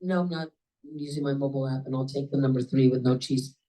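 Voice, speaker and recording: A woman with a low voice joking casually with fellow club members. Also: fast, far from the mic, heavy background noise. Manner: joking, animated. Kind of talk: casual chat.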